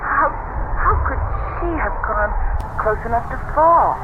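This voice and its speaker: An actress playing an uneasy, frightened young bride in a radio drama, with a hesitant, anguished delivery. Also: female voice, high-pitched, expressive, measured pace, narrow, tinny audio. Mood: uneasy, horrified. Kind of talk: 1970s radio drama acting. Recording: noisy old radio broadcast recording.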